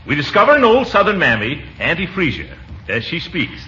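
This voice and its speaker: An actor playing a pompous, middle-aged comic uncle who blusters and chuckles, in 1940s radio sitcom style. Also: male voice, a little high, wildly swooping pitch, a little fast, sharp tone, exaggerated voice. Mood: self-important. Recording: muffled, noisy 1940s radio broadcast.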